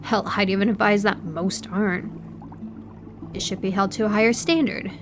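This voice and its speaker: A woman in an audio roleplay playing an educated, politely condescending aquarium worker who speaks in a measured, precise way. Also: mid-range voice, steady tone, noisy background, close to an everyday voice. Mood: disdainful. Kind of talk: audio roleplay acting, one-sided conversation.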